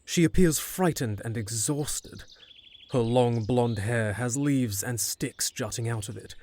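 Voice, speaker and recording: A man's voice: medium pitch, a little slow, darkish tone, a little hiss or hum. A man narrating a scripted fantasy audio drama, with music underneath.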